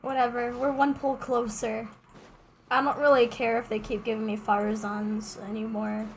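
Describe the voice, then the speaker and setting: High-voiced young woman streaming a game. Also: little pitch movement, moderate pace, creaky, slight background noise, low-quality recording. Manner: playful, slangy, exclamatory. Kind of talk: casual live gaming commentary.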